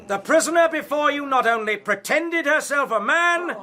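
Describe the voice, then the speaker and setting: Shrill, pompous period prosecutor. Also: male voice, lively intonation, normal speed, bright, forceful tone, heavily acted. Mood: scornful, indignant. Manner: declamatory, scornful. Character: indignant, pompous 18th-century prosecutor. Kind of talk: period courtroom radio drama acting.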